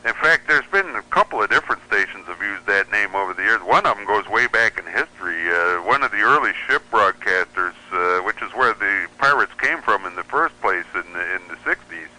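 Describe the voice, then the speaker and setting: A man's voice: on the low side, lively intonation, a little fast, hardly any pauses, bright, forceful tone, rough recording. An American man giving explanatory, opinionated commentary as a guest in a radio interview. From United States. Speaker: radio show guest, pirate radio enthusiast.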